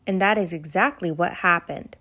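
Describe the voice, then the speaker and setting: A woman narrating a bedtime story aloud for children in an expressive way that speaks directly to young listeners. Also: fairly low pitch, normal speed.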